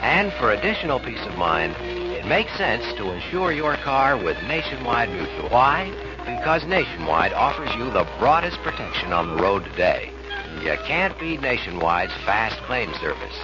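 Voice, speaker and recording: A man's voice: on the low side, very animated, normal speed, over loud music. A man with an American accent delivering a scripted vintage radio commercial as announcer. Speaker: advertisement announcer. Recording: muffled old radio recording.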